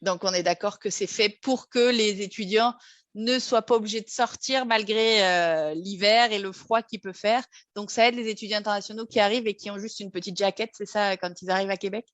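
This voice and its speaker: A woman's voice: mid-range voice, a little fast, dry recording. A woman with a French accent hosting an informational talk, explaining things in a friendly way with many hesitations. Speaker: host.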